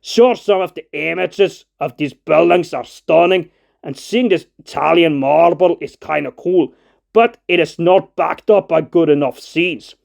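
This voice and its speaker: Higher-pitched man with gravelly fry, reviewing films. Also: measured pace, darkish tone. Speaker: film reviewer. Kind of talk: scripted video-essay narration.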